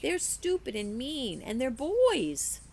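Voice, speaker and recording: A woman's voice: high voice, wildly swooping pitch, slow, darkish tone, a little hiss or hum, voice pushed up, heavily acted. A woman reading the part of a defiant, hot-tempered young girl in a storybook, with blunt, emphatic retorts. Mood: stubborn.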